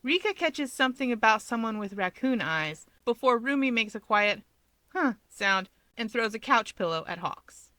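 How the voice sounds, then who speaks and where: A woman's voice: on the high side, expressive, moderate pace, hardly any pauses, pushed and bright, no echo. A woman narrating scripted fiction expressively as a storyteller.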